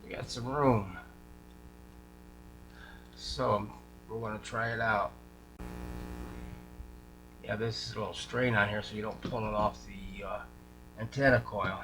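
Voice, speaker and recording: A man talking casually through a hands-on radio repair, informal and folksy, thinking aloud as he goes. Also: fairly low pitch. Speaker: radio repair hobbyist showing a restoration. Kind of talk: casual hands-on workshop chat. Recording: clear background noise, electrical hum.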